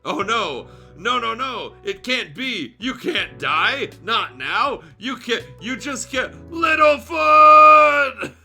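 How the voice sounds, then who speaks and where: A man's voice: sing-song, takes it slowly, sharp tone, soft background music, heavily acted. A man voicing a young female three-horned dinosaur, distraught and crying out in disbelief with a cracking voice. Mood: anguished. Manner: cracking, shrill cries of disbelief.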